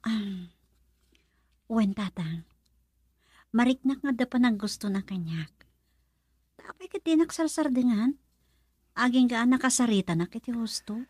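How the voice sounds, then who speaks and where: A woman's voice: fairly high pitch, lively intonation, measured pace, long pauses, darkish tone, slightly rough, no room sound, clean studio sound. A woman with an Ilocano Filipino accent acting in a radio drama as a modest, hesitant young working woman and respectful daughter. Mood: guarded, respectful.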